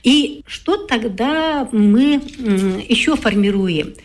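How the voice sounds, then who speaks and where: A woman in her forties, a guest expert, explaining her views in a measured, lecturing way with frequent pauses and "right?" tags. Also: fairly high pitch, animated, slight background noise. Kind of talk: explanatory talk-show discussion.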